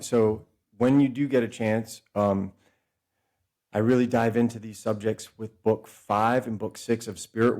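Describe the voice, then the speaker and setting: A man with a fairly low, warm and mellow voice. He talks as a podcast guest over a remote call, working through ideas in long, wandering stretches and often catching and correcting himself mid-thought. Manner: discursive, self-correcting, speeds up and slows down. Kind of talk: casual explanatory conversation.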